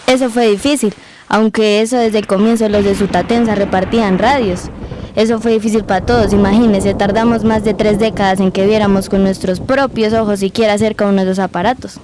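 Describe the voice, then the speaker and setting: A woman's voice: medium pitch, fairly even intonation, fairly quick, noisy background, close to an everyday voice. A woman playing an old, plainspoken Colombian grandmother, a former housemaid telling her memories in an amateur radio drama. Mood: nostalgic storytelling. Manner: folksy rural storyteller speech.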